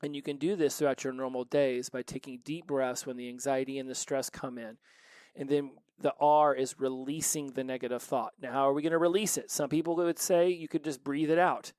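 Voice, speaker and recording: A man's voice: medium pitch, fairly even intonation, brisk, darkish tone, clear, resonant tone, no room sound. A man in his forties hosting a recovery podcast, giving an earnest solo motivational talk full of rhetorical questions. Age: 40s.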